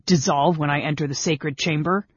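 A man's voice: mid-range voice, unhurried, warm tone, slightly rough, no room sound, dull audio. A man voicing an arrogant, suspicious young warrior in a fantasy audiobook, with a sneering, sarcastic manner. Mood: scornful.

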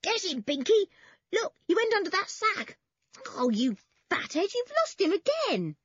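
A woman voicing an eager, bossy, hearty farm boy in a children's storybook, in a breezy, shouting manner. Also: sits high, huge pitch swings, measured pace, no echo, lo-fi sound, voice pushed up, heavily acted. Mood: excited yelling.

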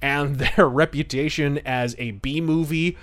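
A man's voice: a little high, steady tone, slow, fairly bright tone. A man hosting a podcast, giving conversational film commentary in a chatty, discursive way with frequent asides. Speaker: podcast host.

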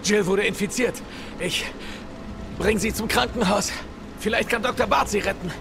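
An actor playing an urgent, caring young soldier who is protective, in a dramatic audio drama. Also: male voice, high voice, moderate pace, vocal fry, over music. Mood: alarmed, urgent.

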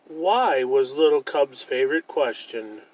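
A man's voice: medium pitch, measured pace, low-quality recording. A man narrating a children's storybook as a storyteller.

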